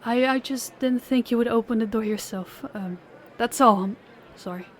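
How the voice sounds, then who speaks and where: A woman's voice: fairly high pitch, moderate pace, a little hiss or hum, lightly acted. A woman voicing a nervous, polite young woman who stammers a little in an audio roleplay. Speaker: voice actor, channel host.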